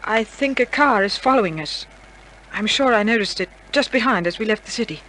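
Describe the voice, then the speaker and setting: A woman's voice: on the high side, expressive, fairly quick, a bit bright. A woman playing an anxious, guarded young heiress in an old-time radio crime drama. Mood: anxious, alarmed. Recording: noisy old radio recording, vehicle sound.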